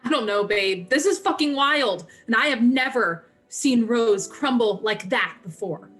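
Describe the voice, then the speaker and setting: A woman acting an anxious, defensive young sorority member in a dramatic reading, in an agitated, halting way. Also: a little high, fairly bright tone, faint music behind. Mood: rattled. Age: young adult.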